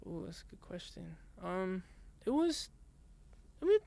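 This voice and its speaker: A young man, a rap artist being interviewed, talking in a soft, halting way with many restarts. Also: sits high, big pitch swings. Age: young adult. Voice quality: raspy singing voice. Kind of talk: casual interview chat.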